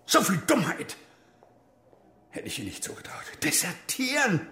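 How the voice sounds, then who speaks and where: A man's voice: high voice, very animated, moderate pace, pushed and bright, heavily acted. An actor playing a stern, agitated older army major and father in a radio crime drama. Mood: agitated reproach. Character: stern, agitated East German army major, father, recounting his past.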